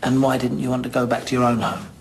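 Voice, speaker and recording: An actor with an English accent playing a middle-aged, steady police detective who asks plain, probing questions in an audio drama. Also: male voice, medium pitch, quick pace, a little hiss or hum, close to an everyday voice. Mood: questioning, measured. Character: seasoned, steady police detective sergeant, a family man. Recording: faint background ambience.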